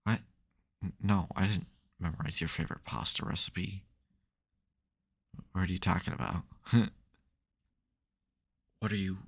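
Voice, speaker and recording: Deep, gravelly soft-hearted supervillain played by a man. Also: steady tone, measured pace, pauses often, full character voice. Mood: teasing, bossy. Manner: dry teasing, hesitant, mock-grudging. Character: supervillain nemesis, secretly soft, teasing and flustered. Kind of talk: intimate ASMR audio roleplay.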